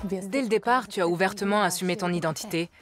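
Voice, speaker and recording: A woman reporter narrating a documentary and asking interview questions in a calm, conversational way. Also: medium pitch, fast, over music.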